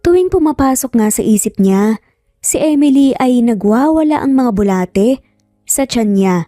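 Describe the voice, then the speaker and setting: A woman's voice: fairly high pitch, animated, normal speed, dark tone, dry recording, clean studio sound. A woman narrating a scripted romance story in a dramatic storytelling style. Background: music.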